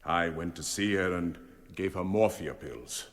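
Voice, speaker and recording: An actor playing a proper, defensive family doctor, giving formal and sometimes hesitant evidence in a radio courtroom drama. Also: male voice, deep voice, unhurried, fairly bright tone. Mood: formal, guarded. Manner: formal, hesitant under pressure.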